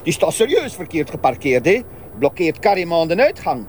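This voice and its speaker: An actor playing an eager, chatty police officer outdoors, with a Flemish accent. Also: male voice, a little high, normal speed. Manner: animated and chatty. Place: outdoors at a car park. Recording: faint outdoor noise.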